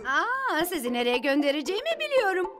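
A polite cartoon hotel receptionist. Her chirpy voice is very high and bounces around melodically, with music behind it. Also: big pitch swings, fairly quick. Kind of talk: cartoon dubbing.

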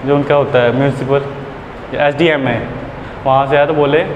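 A man's voice: medium pitch, steady tone, normal speed, highs cut off. A young man teaching an English lesson in a classroom, explaining patiently, mixing Hindi with English phrases, picked up by a camera across the room. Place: classroom with whiteboard. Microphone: far camera. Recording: echoey classroom, room noise.

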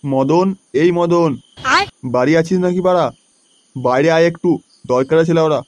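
A man's voice: fairly high pitch, normal speed, a little mellow, close to an everyday voice. A man voicing a crude, anxious village father in a comedy cartoon, blustering and swearing. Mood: worried, irritated. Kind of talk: Bengali comedy cartoon dubbing.

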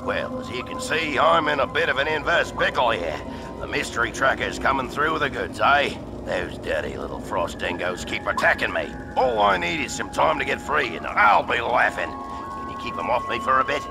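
Gravelly Australian cartoon tracker. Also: male voice, medium pitch, big pitch swings, moderate pace. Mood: urgent, chatty. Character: chatty, cocky, friendly outback tracker man. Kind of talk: cartoon video game voice acting. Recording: loud game music behind.

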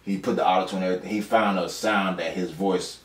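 Raspy, nasal young man with a very deep voice. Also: quick pace. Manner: rambling, animated explaining with mock imitations. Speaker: producer giving feedback. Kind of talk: casual studio feedback chat. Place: small home recording studio, indoors. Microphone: far camera. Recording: echoey room from far off.

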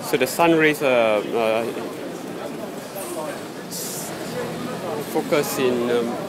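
A man giving halting, unscripted commentary as he films outdoors on a handheld device in a busy city street. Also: medium pitch. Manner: halting, searching for words. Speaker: amateur video maker filming a street scene. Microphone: handheld phone or camera, held by the speaker. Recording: noisy busy city street, traffic and crowd.

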